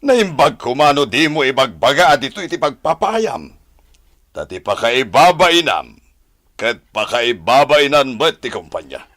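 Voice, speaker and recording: An actor playing a stern, reproving company boss with an Ilocano accent in a radio drama. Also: male voice, medium pitch, sing-song, measured pace, fairly bright tone, no room sound, studio quality.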